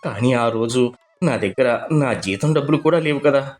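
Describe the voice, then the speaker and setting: A man acts out a stern, money-minded husband from an animated village morality tale. His mid-range voice swings expressively through each line. Faint music plays behind him. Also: mid-range voice, moderate pace, close to an everyday voice. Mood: ashamed, panicked. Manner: scolding, questioning. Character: stern, tight-fisted middle-class husband, bank clerk, belittling his wife. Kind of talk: Telugu animated moral story acting.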